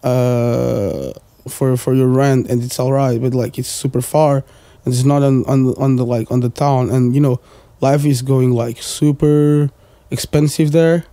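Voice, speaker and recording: A man's voice: mid-range voice, fairly even intonation, a little slow, mellow, no room sound. A man, chatting casually as a podcast guest, rambling with lots of fillers and the odd swear word. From Portugal. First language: Portuguese. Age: 20s. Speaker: guest, music producer.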